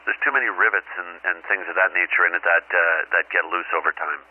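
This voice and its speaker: A man's voice: low-pitched, steady tone, quick pace, dry recording, narrow, tinny audio. A middle-aged or older man talking casually over a radio transmission, rambling and hesitant, often repeating his words. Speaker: amateur radio operator, caller. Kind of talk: casual ham radio conversation. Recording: band-limited noisy ham radio transmission.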